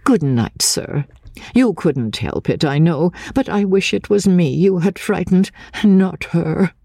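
A woman's voice: fairly low pitch, lively intonation, a little slow, vocal fry, warm tone, no echo, studio quality, voice pushed up, full character voice. Woman playing a forthright, protective, motherly countrywoman. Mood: apologetic, motherly. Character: fearless, protective older countrywoman, indignant yet motherly.

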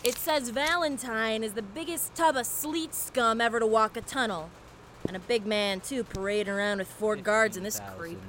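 A woman's voice: on the high side, normal speed, a crowd in the background, close to an everyday voice. A woman playing a brash, mischievous security chief with a folksy, boastful, theatrical drawl. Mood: defiant, mocking. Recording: noisy ambient background.

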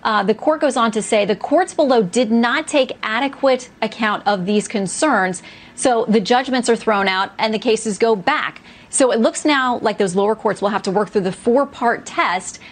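A woman's voice: a little high, normal speed. Woman explaining a court ruling. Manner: clear, explanatory. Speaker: news correspondent. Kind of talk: news report.

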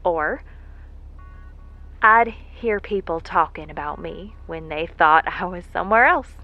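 Muffled, slow-talking, earnest woman. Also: lowish voice, expressive. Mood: reflective, earnest. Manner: folksy, halting, reflective. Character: earnest, vulnerable working woman recording a heartfelt message. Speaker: actor in an audio drama. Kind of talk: intimate monologue in an audio drama. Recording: muffled lo-fi audio with music behind. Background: music.

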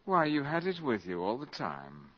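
Cynical, polished wartime spy for old radio play; crisp formal wording, swooping intonation, dulled broadcast sound. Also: male voice, medium pitch, wildly swooping pitch, normal speed. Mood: sardonic. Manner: urbane, precise, sardonic, formal diction. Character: suave, cynical, mercenary valet turned wartime spy. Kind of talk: 1950s radio drama acting. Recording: dull 1950s radio broadcast recording.

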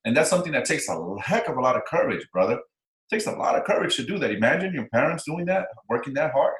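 A man's voice: medium pitch, sing-song, fast talker. A man hosting a casual interview, speaking with enthusiasm and encouragement and repeating phrases for emphasis. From Dominican Republic. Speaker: interview host. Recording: echoey room.

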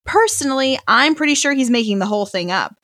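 Actress as high-voiced, cynical woman swindler. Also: animated, fast, fairly bright tone, dry recording, studio quality. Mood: wry, businesslike. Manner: wry, matter-of-fact. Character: cynical, shrewd woman con artist running cult finances. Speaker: actor in an audio play.

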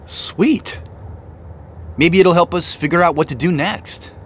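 An actor playing an excited younger brother on a treasure hunt, in naturalistic audio drama dialogue. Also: male voice, on the high side, takes it slowly. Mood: musing, eager. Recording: faint vehicle noise behind. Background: car driving.

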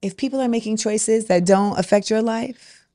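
Earnest woman host, a bit high. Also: moderate pace, warm tone, dry recording, studio quality. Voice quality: deep-toned for a woman. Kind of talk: casual podcast chat.

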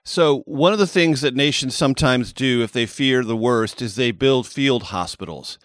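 A man hosting an interview podcast, asking long explanatory questions in a measured way. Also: medium pitch, a bit bright, no echo, clean studio sound. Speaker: podcast host.

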